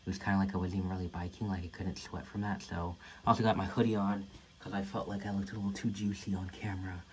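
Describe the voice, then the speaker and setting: Low-voiced rambling young man vlogging. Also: little pitch movement, quick pace. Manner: rambling, loose and cursing, with many fillers. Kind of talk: casual chat. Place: small home gym and room with colored lights, indoors. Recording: clicks and clear room noise.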